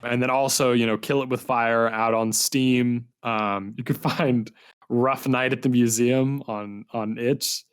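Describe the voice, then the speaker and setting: A man's voice: medium pitch, steady tone, a little slow, clear, resonant tone. A man hosting a podcast in a casual, conversational style, talking loosely and informally. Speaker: podcast host and game reviewer. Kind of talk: casual podcast interview chat.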